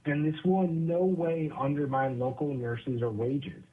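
Guy testifying at a hearing, husky voice, measured and persuasive. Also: a little high, steady tone, phone-quality sound. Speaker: invited testifier. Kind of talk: formal legislative testimony.

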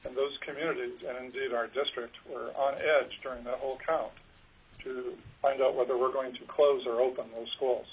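Soft husky guy testifying over a dull lo-fi phone line, earnest, lots of ums. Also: mid-range voice, level tone, normal speed. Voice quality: soft-spoken. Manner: earnest, hesitant with fillers. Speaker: school superintendent. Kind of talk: testimony at a legislative hearing. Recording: lo-fi teleconference line.

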